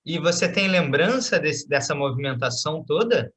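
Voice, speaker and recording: An interviewer asking calm questions and giving brief affirmations. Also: medium pitch, moderate pace.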